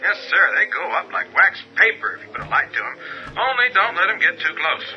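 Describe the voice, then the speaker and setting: An actor playing a blunt, folksy rural county sheriff in a radio drama, speaking in a drawling, matter-of-fact way. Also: male voice, high voice, sing-song, a little fast. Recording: dull broadcast-style audio with music.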